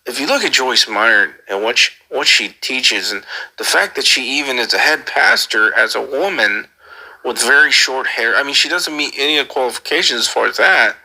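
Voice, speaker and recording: A man's voice: mid-range voice, expressive, normal speed, creaky, pushed and bright, rough recording. A man on a casual call, asking earnest questions in a hesitant way and often repeating his phrases. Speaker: caller.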